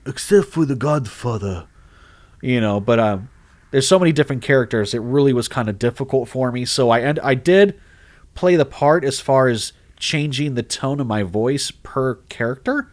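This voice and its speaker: A man who narrates horror stories, chatting casually on a livestream, rambling and animated, with lots of restarts. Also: medium pitch. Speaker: horror story narrator and streamer. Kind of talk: casual livestream chat reading comments.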